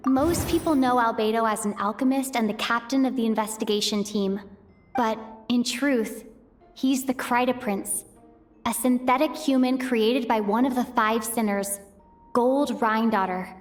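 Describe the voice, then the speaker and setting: A woman's voice: fairly high pitch, unhurried, soft background music, close to an everyday voice. A woman voicing a thoughtful young female traveler who works methodically through clues in an inner monologue for a fan dub. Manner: methodical, reasoning aloud, questioning herself. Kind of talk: fan voice acting of a game cutscene, inner monologue.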